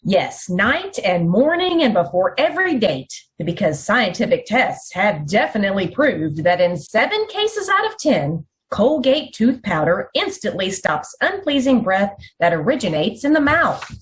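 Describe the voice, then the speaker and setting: Animated woman radio commercial announcer. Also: medium pitch, measured pace, hardly any pauses. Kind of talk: scripted 1940s radio commercial acting.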